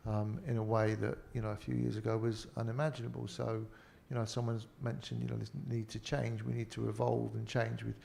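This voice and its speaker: Gravelly Liverpool man. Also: medium pitch, level tone, brisk, hardly any pauses, darkish tone, a little hiss or hum. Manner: rambling, lots of "you know". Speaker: panelist. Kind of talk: casual panel talk.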